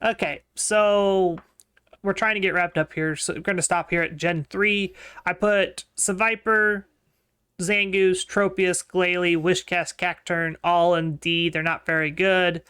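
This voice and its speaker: A man co-hosting a casual podcast, thinking aloud in a relaxed way with frequent ums. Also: high voice, measured pace, clean tone. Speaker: podcast co-host.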